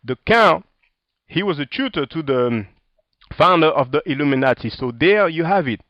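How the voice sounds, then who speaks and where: A man's voice: on the high side, no echo, muffled recording. A man with a French accent, whose first language is French, giving a sarcastic, combative video commentary in loose street slang while reading from a book and a website.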